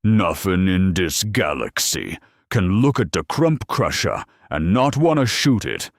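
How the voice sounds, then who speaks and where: A man voicing a huge, gruff, cocky ork warboss with a gravelly voice and rough slang, in an audio drama. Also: sits low, sing-song, measured pace, no room sound, clean studio sound, voice pushed up, full character voice. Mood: boastful rallying. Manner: rough cockney-style dropped-letter slang.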